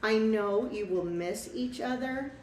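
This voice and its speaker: A woman's voice: on the high side, a little slow, natural voice. A woman voicing a kindly, gracious old Santa Claus from a children's storybook. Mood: kindly, welcoming. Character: Santa Claus, kindly old man greeting and thanking a girl. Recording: echoey room, distant mic, faint noise.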